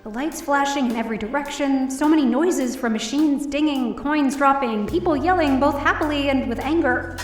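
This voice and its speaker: Woman acting troubled young woman, highish voice, first-person drama narration, roomy and slightly distant, music behind. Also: normal speed, close to an everyday voice. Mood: weary, reflective. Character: distressed, down-on-her-luck young woman telling her story. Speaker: lead actor in audio drama.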